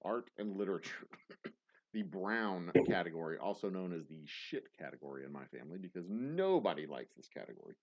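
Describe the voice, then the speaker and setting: A man with a fairly low voice hosts a casual trivia night on a stream, his pitch swinging widely as he rambles and jokes at his own expense. The audio is a bit dull and lo-fi. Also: very animated, brisk, darkish tone. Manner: rambling, self-mocking jokes, frequent restarts. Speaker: host reading trivia questions on a livestream. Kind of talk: casual live-stream banter.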